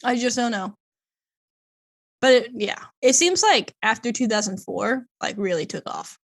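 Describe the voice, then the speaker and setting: A woman's voice: a little high, a little slow. Hesitant woman reading a plot aloud. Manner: hesitant, filler-heavy, stumbles over fantasy names. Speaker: podcast co-host. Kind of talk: casual chat, reading a plot summary aloud.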